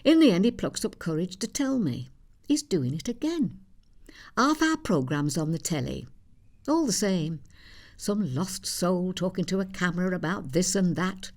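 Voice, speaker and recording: A woman's voice: on the low side, very animated, moderate pace, darkish tone. An actress playing an elderly, plain-spoken Yorkshire mother, telling a dry, gossipy monologue in a conversational way. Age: older. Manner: dry, matter-of-fact, gossipy. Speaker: actor in a theatre monologue. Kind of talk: conversational storytelling stage monologue.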